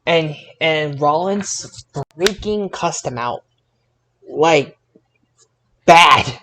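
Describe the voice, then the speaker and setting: A man co-hosting a podcast, chatting casually about events in a halting way, often restarting mid-sentence. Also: on the high side, expressive, sharp tone. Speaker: podcast co-host. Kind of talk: casual wrestling recap chat.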